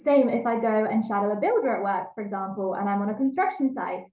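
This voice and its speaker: A woman's voice: medium pitch, fast, warm tone, echoey room, rough recording. A woman with an English accent giving a language learning talk, explaining earnestly and repeating key points for emphasis. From England. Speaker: language teacher.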